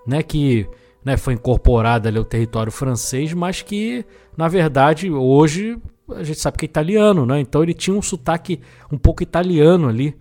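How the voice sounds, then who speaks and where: A man's voice: fairly high pitch, moderate pace, a little mellow. A man with a Brazilian accent hosting a film podcast, talking casually in an opinionated, explanatory and colloquial way. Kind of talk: casual film review discussion. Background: background music.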